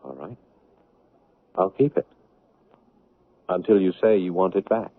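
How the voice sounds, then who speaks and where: Low, solemn controlling fiancé, muffled radio. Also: male voice, animated. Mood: firm, uneasy. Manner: measured, insistent, solemn. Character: earnest, controlling, self-righteous son and fiancé. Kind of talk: 1970s radio drama acting and confessional narration. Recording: muffled, phone-like old radio broadcast.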